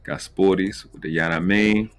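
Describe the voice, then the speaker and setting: Deep-voiced man hosting a casual livestream. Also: fairly even intonation, moderate pace, music in the background. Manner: slangy, conversational. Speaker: live show host.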